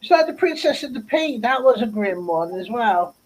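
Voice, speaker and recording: A speaker joins a casual group chat, tossing in chatty story asides at an easy mid pitch. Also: very animated, moderate pace, rough recording. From Devon, England.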